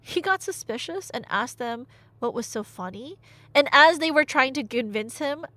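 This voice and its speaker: A woman's voice: high voice, normal speed. A woman telling a story in a casual, rambling, conversational way, with wry asides. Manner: animated, conversational, wry asides and rhetorical questions. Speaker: audio roleplay storyteller, playing a nerdy girlfriend.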